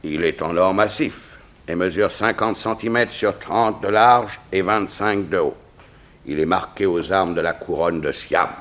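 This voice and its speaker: Dignified court chamberlain, low male voice, formal, precise wording, 1960s French radio drama. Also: measured pace, fairly bright tone. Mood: precise, earnest.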